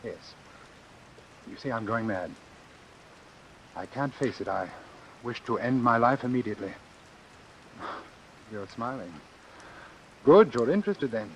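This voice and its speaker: An actor playing a calculating, formal doctor who deliberately and persuasively arranges his own death in an old-time radio suspense drama. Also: mid-range voice, animated, rough recording. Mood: grave. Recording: hissy vintage radio recording with rain. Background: hiss and falling rain.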